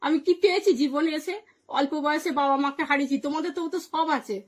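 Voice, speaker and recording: A woman's voice: high voice, brisk. A woman arguing on the phone in a firm, defiant and aggrieved way. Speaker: wife in a phone confrontation. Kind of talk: heated phone argument.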